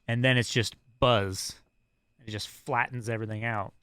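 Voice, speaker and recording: A man's voice: mid-range voice, fairly even intonation, normal speed, bright, forceful tone, clear, resonant tone, no room sound. A man co-hosting a podcast in casual chat, laughing often and explaining with confidence. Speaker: podcast co-host.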